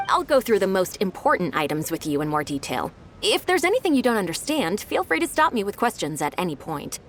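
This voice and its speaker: A lively, diligent young secretary. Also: female voice, medium pitch, slight background noise. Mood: brisk, earnest. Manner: brisk, businesslike, polite, explaining methodically. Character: diligent, eager young female secretary to a wealthy lady. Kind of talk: video game voice acting.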